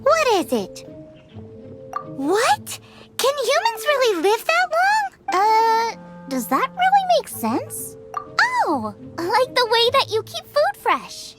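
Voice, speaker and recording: A woman voicing a tiny, childlike, excitable fairy companion with a squeaky voice in a video game. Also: slow pace, heavily acted. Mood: puzzled. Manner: speaks of self in third person. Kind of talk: video game cutscene acting. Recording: loud music over the voice.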